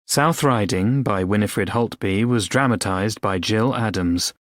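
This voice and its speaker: A man's voice: on the low side, unhurried, dry recording, studio quality. A man reading scripted drama credits as a radio announcer.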